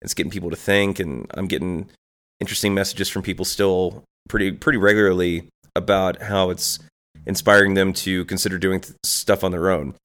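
Podcast host, male, congested, deep smooth voice, rambling reflective solo talk. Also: fairly even intonation, a little fast, a bit bright. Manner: rambling, thinking aloud with restarts and asides. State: congested from allergies.

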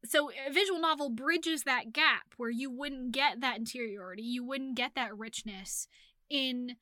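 A woman hosting a casual podcast discussion, talking in an animated, emphatic way and building her points in lists. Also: high voice, measured pace, no room sound, studio quality. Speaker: podcast host; romance writer.

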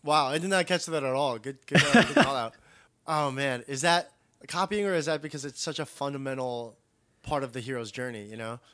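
A man's voice: medium pitch, brisk, bright, forceful tone, no room sound. Informal, slangy man chatting, dry sound. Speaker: podcast co-host. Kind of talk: casual chat about an animated show.

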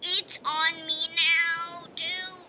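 Woman playing a chirpy high-voiced mermaid. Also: little pitch movement, extremely slow, heavy background noise, exaggerated voice. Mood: urgent, grossed out. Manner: bubbly, exclamatory. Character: chirpy, excitable young mermaid living on an island. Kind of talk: audio drama acting. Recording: music behind the voice.

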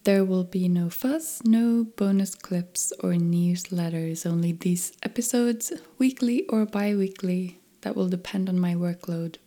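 A woman hosting a musicians' podcast, reading her introduction in a soft, measured, half-whispered way. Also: lowish voice. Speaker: podcast host. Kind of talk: scripted podcast intro and interview. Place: home in Stockholm.

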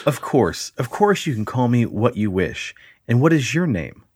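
Husky, animated man playing a baffled male abductee in a podcast story reading, sweary and disbelieving. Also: mid-range voice, moderate pace, dry recording, studio quality. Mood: polite. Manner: exclaiming, questioning, theatrical reading.

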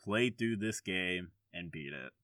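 A man's voice: sits low, animated, unhurried, a bit bright, dry recording, studio quality. A man hosting a casual podcast conversation, asking questions and reacting. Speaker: podcast host.

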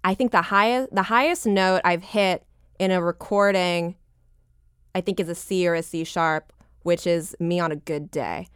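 A woman with a lower-pitched voice chatting casually as a singer-songwriter guest in an interview, with a lightly joking, self-deprecating manner. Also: normal speed, clean tone, no room sound, studio quality.

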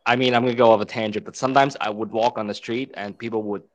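A man's voice: mid-range voice, steady tone, quick pace, no echo. A man from Hong Kong in his thirties, a podcast guest speaking in a friendly, chatty way. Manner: friendly, chatty, self-deprecating. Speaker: guest, fitness educator. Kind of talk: casual interview chat.

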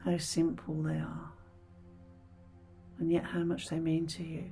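A woman leading a scripted guided meditation in a soothing, hushed, breathy voice with gentle pauses. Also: low voice, completely flat delivery. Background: background music.

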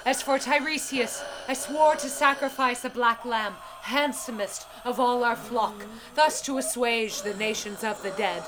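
A woman playing a weary seafaring hero in an audio drama, telling the tale in grave, measured, dramatic verse. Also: a little high, background noise, close to an everyday voice. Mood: grave, solemn.

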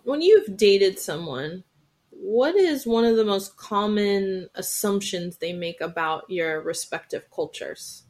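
A woman's voice: medium pitch, lively intonation, takes it slowly, a little mellow. A woman hosting a casual podcast chat, asking playful, probing questions of her guests. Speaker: podcast host.

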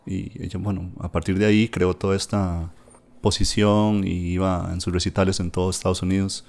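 A man's voice: sits low, level tone, a little fast, gravelly, a little mellow. A man, chatting casually about books on a podcast, full of fillers and tag questions. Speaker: podcast host discussing books. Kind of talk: casual literary chat.

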